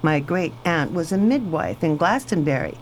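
A woman plays a posh superintendent's wife in a radio drama. She is a genteel, talkative, self-assured hostess with a rather low voice that swings expressively. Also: expressive, normal speed, poor audio. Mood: gracious. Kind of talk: radio play acting. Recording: faint background noise.

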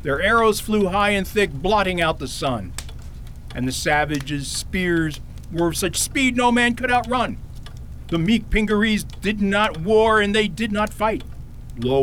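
A man's voice: high voice, fairly bright tone, slight background noise. A man playing a pompous, eccentric storytelling king in a fantasy radio drama, with grandiose theatrical delivery.

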